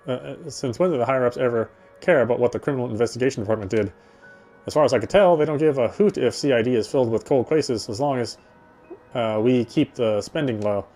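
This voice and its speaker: Mellow man reading a game story. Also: medium pitch. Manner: reads conversationally, with stumbles and repeats. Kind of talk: first-person reading of a visual novel script.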